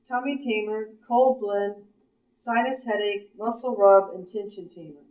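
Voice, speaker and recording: A woman with an Appalachian American accent chats with a small workshop group, listing blends and teasing friends. The sound is distant and roomy, with a muffled, dull tone. The pitch sits at a middle level. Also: fairly even intonation, measured pace, darkish tone, slight background noise. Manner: friendly, chatty, lists items off. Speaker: workshop leader handing out essential oil blends. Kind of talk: casual small-group chat. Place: meeting room, small group.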